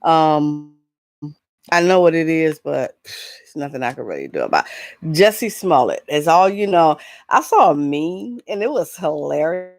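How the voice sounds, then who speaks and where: A woman hosting a casual entertainment vlog, talking in a rambling, halting, informal way. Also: low voice, very animated, dry recording. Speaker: vlog host. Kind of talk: casual chat, entertainment gossip.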